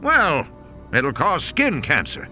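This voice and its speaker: A man's voice: fairly high pitch, wildly swooping pitch, takes it slowly, sharp tone, soft background music, full character voice. A man voicing a stiff, stubborn, irritated older businessman who argues back in an audio play. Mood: argumentative. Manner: stiff, argumentative.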